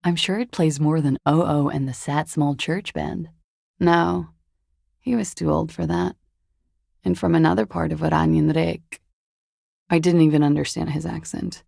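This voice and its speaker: An actress playing a flippant, worldly, evasive young woman in a video game, speaking with a languid, deadpan wryness. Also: deep voice, a little slow, gravelly, no room sound, studio quality, heavily acted. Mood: wistful, detached. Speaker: video game character voice actor. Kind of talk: video game dialogue acting.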